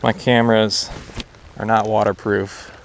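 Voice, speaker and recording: A man's voice: on the low side, little pitch movement, a little slow, slight background noise. A young American man chatting casually and informally to camera as a fishing vlogger outdoors. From United States. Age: young adult. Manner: easygoing, informal. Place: outdoors by a pond, windy.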